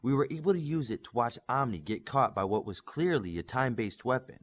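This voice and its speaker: A man's voice: mid-range voice, lively intonation, a little fast, natural voice. A man voicing an earnest young superhero tech expert in an audiobook, explaining things methodically.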